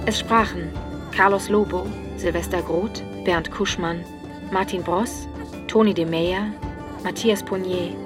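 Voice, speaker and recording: A woman's voice: fairly low pitch, steady tone, a little slow. A woman announcer reading scripted credits for a radio drama. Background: prominent music.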